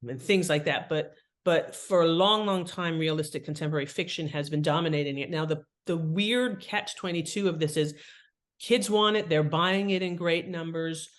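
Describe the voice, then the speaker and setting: A woman's voice: low voice, moderate pace. A woman speaking as an expert guest in an interview, giving conversational advice and explaining with emphasis on key words. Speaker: guest author and writing expert.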